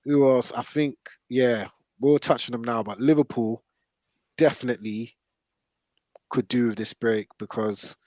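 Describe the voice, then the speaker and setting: A man's voice: medium pitch, unhurried, a little mellow, no room sound. Man hosting casual football chat, dry sound. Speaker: podcast host.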